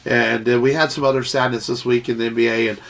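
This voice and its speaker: A man's voice: medium pitch, flat delivery, fast talker, a bit bright. A man from Texas co-hosting a podcast, congested from a sinus infection, rambling and cursing freely. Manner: rambling, salty, with many fillers and restarts. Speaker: podcast co-host. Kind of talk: casual chat.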